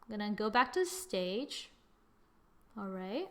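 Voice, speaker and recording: A young woman hosting a screen-recorded software tutorial, chatting through each step as she works at her computer. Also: mid-range voice, sing-song, measured pace. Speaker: tutorial host.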